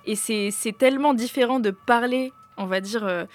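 A woman's voice: a little high, moderate pace. A young woman talking casually and expressively in an informal, slangy group discussion.

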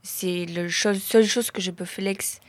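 A young woman who is not a native French speaker, chatting casually in simple, halting phrases. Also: on the low side, fairly even intonation, no room sound. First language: non-French mother tongue. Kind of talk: casual conversation.

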